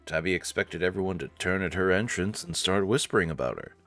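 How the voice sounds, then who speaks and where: A man's voice: low-pitched, animated, fairly quick, a bit bright. A man hosting a let's-play, reading story narration theatrically with casual, amused asides. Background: faint game music.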